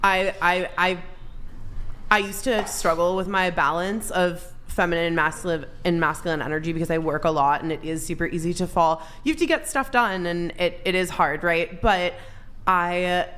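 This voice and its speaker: A woman on a dating panel, talking with hesitant, halting starts. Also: fairly low pitch, fairly bright tone. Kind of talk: panel talk.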